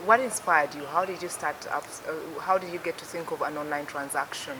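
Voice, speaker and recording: A woman's voice: low-pitched, a little fast. Chatty woman hosting a TV show. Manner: chatty, filler-heavy, upbeat. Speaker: TV business show host. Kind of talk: broadcast interview hosting. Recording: noisy recording with steady hiss.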